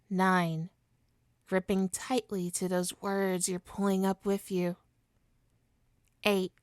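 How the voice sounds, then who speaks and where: A woman playing a suave supervillain hypnotist in an ASMR roleplay, coaxing and possessive, with a soothing, deliberate, mock-polite manner. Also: mid-range voice, a little mellow, no room sound, studio quality, natural voice.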